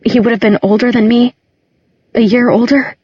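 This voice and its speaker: Distraught teenage girl played by a female narrator, bitter and incredulous, slightly husky, dry close sound, audiobook drama. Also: medium pitch, fairly even intonation, normal speed, dry recording, highs cut off, pitched above the performer's own voice, lightly acted. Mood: tearful, questioning. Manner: incredulous, emotional, emphatic.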